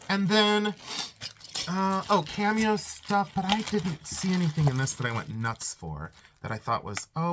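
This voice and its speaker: Congested chatty man with lively pitch. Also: noisy background. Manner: chatty, rambling, self-interrupting, frequent laughs. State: congested, stuffed up. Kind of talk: casual haul video.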